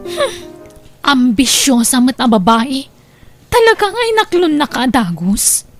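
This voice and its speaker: A woman's voice: sits high, big pitch swings, unhurried, dry recording, faint music behind, heavily acted. An actress playing a jealous, mocking young girlfriend in an Ilocano audio drama, sneering and taunting, with an Ilocano accent.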